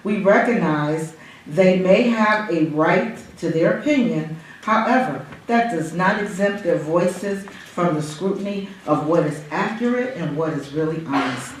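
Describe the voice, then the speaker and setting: A woman reading a formal prepared statement at a school board meeting, in a solemn, measured, preacherly cadence that rises for emphasis. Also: lowish voice, lively intonation, a little hiss or hum. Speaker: public commenter reading a statement. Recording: echoey room, voice far from mic.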